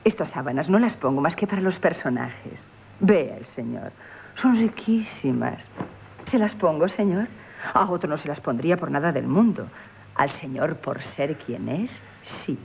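An actress playing a shrewd, flattering innkeeper, coquettish and chatty, in a radio play. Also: female voice, low-pitched, measured pace, vocal fry, a little hiss or hum, exaggerated voice. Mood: flattering, deferential. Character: shrewd, flattering, independent young innkeeper woman. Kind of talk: theatrical radio play acting in Castilian Spanish.

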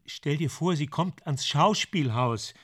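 A man in a German radio play acting an anguished, guilt-ridden screenwriter, halting and agitated as he pleads. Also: on the high side, lively intonation, a bit bright, husky, full character voice. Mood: pleading, justifying.

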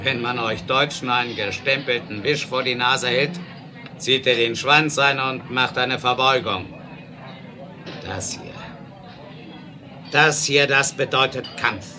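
A man's voice: mid-range voice, takes it slowly, pauses often, natural voice. Male actor as domineering American rail magnate for a radio play; mid-range voice, explosive temper, emphatic commands, noisy vintage sound. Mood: angry, defiant. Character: hot-tempered, bullying male American railroad boss. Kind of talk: 1970s German audio drama acting.

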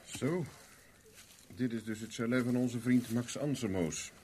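An actor playing a cool, determined private investigator with a dry, self-assured manner in a 1970s radio play. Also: male voice, mid-range voice, a little slow, a little mellow, lightly acted. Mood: musing, searching. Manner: dry, self-assured, talks to himself while searching. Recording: noisy old radio drama recording.

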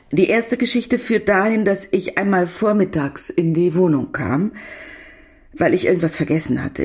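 A woman's voice: lowish voice, a little mellow, natural voice. An actress playing a devoted woman doctor who tells of her love in a reflective, intimate radio drama monologue.

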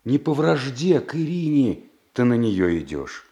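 An actor playing a wry, unctuous older courtier prince in a classical radio play. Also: male voice, mid-range voice, sing-song, a little slow, dark tone, lo-fi sound. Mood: soothing. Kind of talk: classical stage acting for radio.

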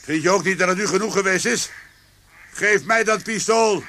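An actor playing a determined, brooding police commissioner in a radio drama. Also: male voice, high voice, normal speed, pushed and bright, a little hiss or hum. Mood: firm confrontation. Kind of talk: Dutch radio drama acting.

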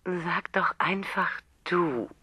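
A woman's voice: lowish voice, takes it slowly, sharp tone, slightly rough, heavily acted. An actress playing a lonely, shy woman who calls a man on the phone, tipsy, nervous and obsessive, often whispering. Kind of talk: radio drama acting.

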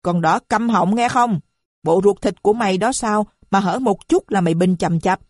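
A woman voicing a shrill, boastful, foul-mouthed middle-aged mother who screeches her abuse. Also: moderate pace, a little mellow, no echo, clean studio sound, close to an everyday voice. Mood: scolding.

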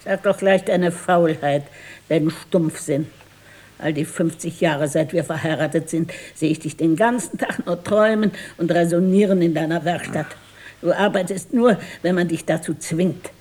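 An actress playing a scornful, nagging old wife in a radio drama. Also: female voice, on the low side, moderate pace, darkish tone, natural voice. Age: older. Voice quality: husky. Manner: nagging, biting. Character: scolding, scornful old wife, married fifty years. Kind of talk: 1960s German radio drama acting.

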